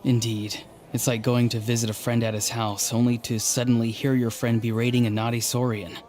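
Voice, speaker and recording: A man playing a stoic, earnest young warrior in a video game, with a formal, deadpan and slightly hesitant manner. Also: medium pitch, a little fast, faint music behind, lightly acted. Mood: awkward deadpan. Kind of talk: English game voice acting.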